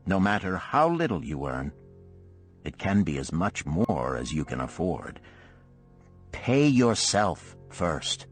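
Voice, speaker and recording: A man's voice: deep voice, exaggerated voice. A man voicing a stern, blunt old moneylender mentor who lectures and scolds emphatically in a storybook parable. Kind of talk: audiobook parable character dialogue.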